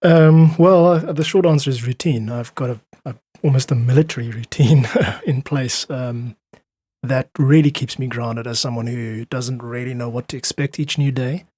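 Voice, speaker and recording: A man's voice: medium pitch, steady tone, moderate pace, warm tone, no room sound. A man chatting casually as a podcast guest, explaining things in a thoughtful way. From South Africa. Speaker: podcast guest, freelance musician.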